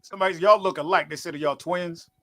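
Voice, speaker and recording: A man co-hosting a podcast, joking and teasing the guests and quick to laugh. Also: on the high side, background noise. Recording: crowd chatter behind.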